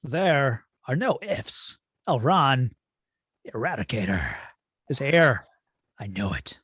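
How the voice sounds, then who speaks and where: A cold, imperious alien android villain, acted by a man in a dramatic script reading. The voice is husky and slow, with grandiose swings in pitch. Every phrase is delivered deliberately. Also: very animated, a bit bright, no room sound, clean studio sound, heavily acted. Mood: cold, commanding. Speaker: table-read performer. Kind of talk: dramatic script read-through.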